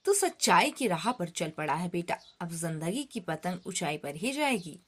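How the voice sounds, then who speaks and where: A woman playing a kindly, wise old male woodcutter who gives gentle advice in a children's story. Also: on the low side, big pitch swings, breathy edge, exaggerated voice. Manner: gentle, slow, advising.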